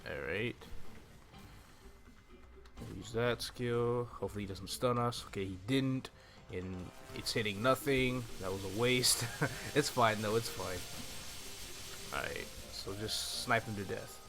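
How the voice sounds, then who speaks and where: A man's voice: lowish voice, measured pace, long pauses. Young man casually commentating over game music. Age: young adult. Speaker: gaming video host. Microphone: desk microphone close to the mouth.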